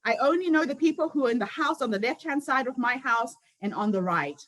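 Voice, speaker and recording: A woman's voice: sits high, expressive, a little fast, fairly bright tone. A woman, giving an earnest motivational speech built on personal stories. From Zimbabwe. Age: 50s. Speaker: summit founder and speaker.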